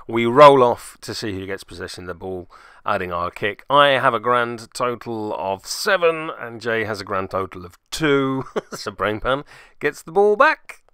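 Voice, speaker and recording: A man's voice: medium pitch, expressive, normal speed, sharp tone, smooth, no room sound, clean studio sound. A man narrating a casual play-by-play recap of a tabletop game as its host, with wry, self-deprecating asides.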